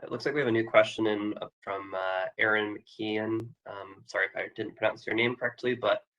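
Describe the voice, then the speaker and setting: A hoarse man with a low, flat voice reads out questions and hedges his suggestions. He sounds like he is on a video call. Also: fairly quick, muffled recording. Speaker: webinar moderator. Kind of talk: moderating Q&A.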